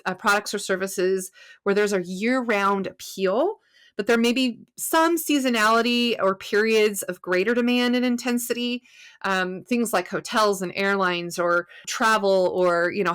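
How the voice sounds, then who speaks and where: A woman hosting a marketing podcast, explaining ideas in a lively way that often checks in with "right?", then interviewing her guest. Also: medium pitch, clear, resonant tone, no room sound, studio quality. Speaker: podcast host.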